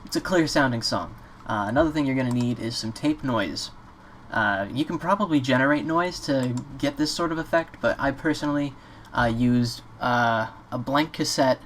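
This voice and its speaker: A man giving a casual, off-the-cuff software tutorial in an informal, slightly hesitant way. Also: medium pitch, normal speed, soft background music. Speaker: tutorial presenter. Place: indoors at a computer. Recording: clear background noise, keyboard clicks.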